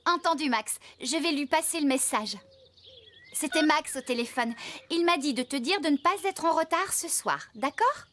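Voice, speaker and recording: A woman's voice: high voice, expressive, moderate pace, a bit bright, a little hiss or hum. A woman playing a friendly, organised young woman farmer in a children's cartoon, giving encouraging instructions. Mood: cheerful.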